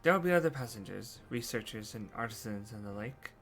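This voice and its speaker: Man voicing a reserved, measured fantasy guide. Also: on the low side, animated, a bit bright, a little hiss or hum, pitched below the performer's own voice. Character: reserved, stoic male guild guide in fantasy game. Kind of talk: scripted game dialogue read-through.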